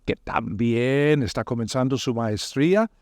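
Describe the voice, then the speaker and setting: A man hosting a conversational podcast, his voice sitting in an ordinary middle pitch. He asks questions and explains his topic in an unhurried, friendly manner. The recording is close and dry. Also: darkish tone, no echo. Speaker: podcast host.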